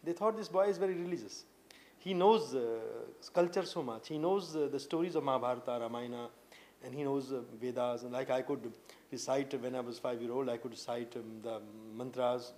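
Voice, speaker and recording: A man in his late thirties with an Indian accent, sitting for an indoor interview. He speaks earnestly at a middling pitch, stopping often on "uh" and starting his sentences over. A faint hum hangs in the room behind him. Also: darkish tone. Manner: earnest, halting, lots of uh fillers and restarts. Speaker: poet guest. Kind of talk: interview answers. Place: indoor room with tapestries and a sofa.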